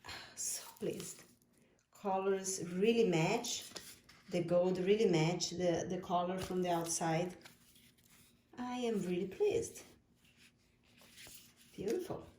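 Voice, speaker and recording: A woman's voice: lowish voice, slow, pauses often, dark tone, reverberant, a little hiss or hum. A woman from Brazil with a Brazilian Portuguese accent, speaking English as a second language and gushing as she unboxes her own oracle deck. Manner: gushing, repeats words of praise. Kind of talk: product unboxing vlog.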